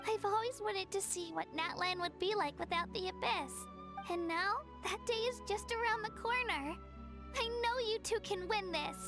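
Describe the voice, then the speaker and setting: A young girl in a video game, with a very high voice that has some creak in it. She is gentle and earnest, encouraging others in a soft-hearted, slightly hesitant way. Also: normal speed, over music. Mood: hopeful.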